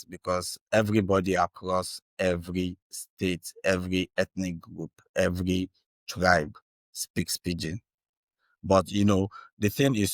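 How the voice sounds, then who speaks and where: Male Nigerian interview guest, native Igbo speaker, low voice, earnest explainer, close dry sound. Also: no room sound. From Anambra State, Nigeria. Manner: earnest, checks in with tags like "right" and "you know". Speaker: interview guest, Bitcoin educator.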